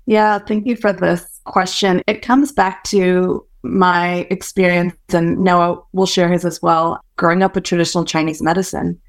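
Woman in her forties, Hokkien first language, low-pitched, thoughtful, co-founder being interviewed. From born in Malaysia, raised in the US. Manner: thoughtful, searching, with small restarts. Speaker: company co-founder, physician scientist. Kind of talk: reflective interview answers.

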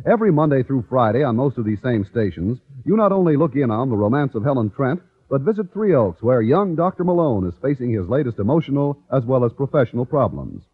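A male American announcer delivers a scripted vintage network radio promo. His husky voice moves with lively intonation. The old recording is narrow and tinny, like a telephone line. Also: medium pitch, a little fast, few pauses.

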